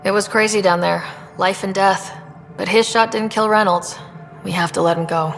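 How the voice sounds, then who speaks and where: A woman playing a gravelly, direct Pathfinder. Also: mid-range voice, steady tone, moderate pace, big-room sound, faint music behind, lightly acted. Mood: steady. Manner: direct, plain-spoken. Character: female Pathfinder, direct and steady leader.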